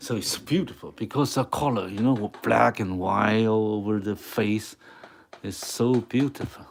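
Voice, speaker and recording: Man, Mandarin accent, native Mandarin speaker, slow halting English, gravelly voice, live drawing lesson. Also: on the low side, slight background noise. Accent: Mandarin Chinese. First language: Mandarin Chinese. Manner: halting, searching for English words. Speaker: art teacher.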